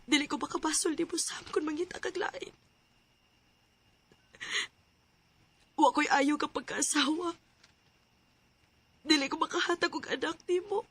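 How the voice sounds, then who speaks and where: Anguished high-pitched wife in radio drama. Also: female voice, normal speed, a bit bright. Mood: hurt, resigned. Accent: Filipino. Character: troubled wife hiding an affair, anguished and anxious. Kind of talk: Filipino radio drama acting.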